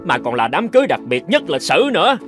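A man voicing a hearty, friendly, teasing young soldier in a cartoon, expressive and bantering. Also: a little high, quick pace, over music. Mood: teasing, amused. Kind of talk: cartoon dubbing.

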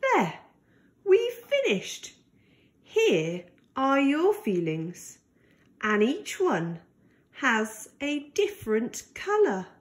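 Woman, children's storyteller, high lilting voice, highly varied intonation, gentle, deliberate picture-book read-aloud. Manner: deliberate, gentle, animated delivery for young children.

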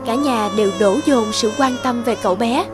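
A woman narrates a cartoon folk tale in a storybook style. Her voice sits fairly high, with music playing clearly behind it. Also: normal speed.

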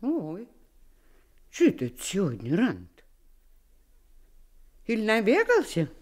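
Homely old nanny in a radio play, folksy, coaxing, really swoopy pitch. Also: female voice, huge pitch swings, takes it slowly, exaggerated voice. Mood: fond, surprised. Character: doting, homely old nanny of a little boy. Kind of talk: Soviet radio play acting.

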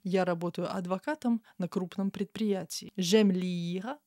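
A young woman teaching a language lesson. She says the example phrases slowly and carefully and explains them more quickly. She speaks into a studio mic in a small room. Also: fairly low pitch, warm tone, dry recording. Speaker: French teacher. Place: small room with a window, indoors. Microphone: studio mic in front of her.

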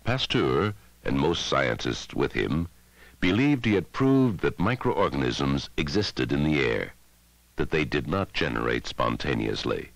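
A man narrating a scripted educational film in a measured, dramatic way, on a 1960s film soundtrack. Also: very deep voice, very animated, dry recording, poor audio. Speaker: documentary narrator.

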